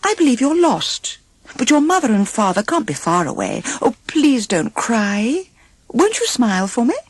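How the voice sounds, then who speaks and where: Female reader as young fairy-tale princess, sweet, innocent, tender, high lilting voice, storybook. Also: big pitch swings, moderate pace, husky, no room sound.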